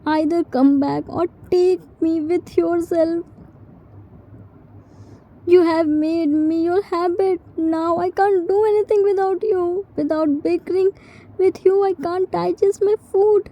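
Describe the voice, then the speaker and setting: A woman voicing a grieving young man who scolds and pleads in halting phrases, in a dramatised fan story. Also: very high voice, fairly even intonation, muffled recording, pitched above the performer's own voice, heavily acted. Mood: pleading, anguished. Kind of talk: dramatised fan-fiction dialogue.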